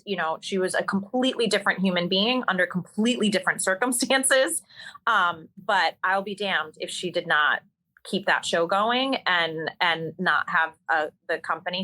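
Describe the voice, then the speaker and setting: A woman with a bright, forward voice sitting lowish in pitch for her. She talks as an interview guest in a loose, chatty way, full of ums, likes and little restarts. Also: rough recording. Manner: chatty, many fillers and restarts. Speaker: stage actor and singer, guest. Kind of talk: casual conversational storytelling.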